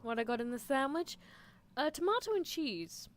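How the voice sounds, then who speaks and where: Female, higher register, improvised ASMR character work: possessive, exasperated anime mad scientist, slightly noisy livestream recording. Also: expressive, moderate pace. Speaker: streamer performing character roleplay.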